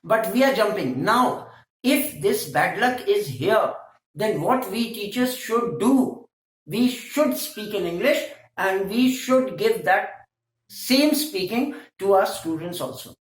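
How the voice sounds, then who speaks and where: A woman's voice: medium pitch, lively intonation, takes it slowly, roomy sound, rough recording. A woman with a Pakistani accent, a native Hindko speaker, giving a teacher training lecture in an emphatic, scolding way full of rhetorical questions.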